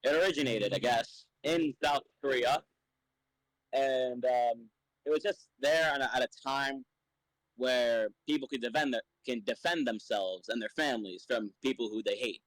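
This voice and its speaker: A young man in a casual podcast chat, answering questions slowly and haltingly, with many fillers. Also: mid-range voice, a bit bright, dry recording, poor audio. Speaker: podcast guest being questioned.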